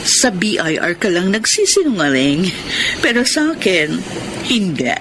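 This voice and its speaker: A woman's voice: fairly low pitch, big pitch swings, unhurried, noisy background. An actress playing a flirtatious, wealthy female client in a Filipino radio drama, with a coy, seductive drawl. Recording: studio radio drama over loud music.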